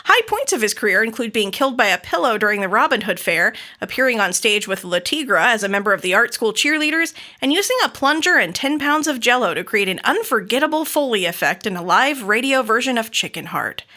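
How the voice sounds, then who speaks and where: A woman's voice: on the high side, sing-song, bright, forceful tone. An American woman hosting a podcast, enthusiastic and effusive, moving between scripted introductions and casual interview chat. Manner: enthusiastic, effusive, quick to laugh. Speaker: podcast host.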